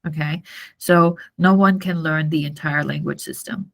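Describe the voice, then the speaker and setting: A woman university lecturer, a native Mandarin speaker from Taiwan, explaining material to a class in a halting, repetitive way with frequent checks for understanding. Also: sits low, fairly even intonation, vocal fry. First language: Mandarin Chinese.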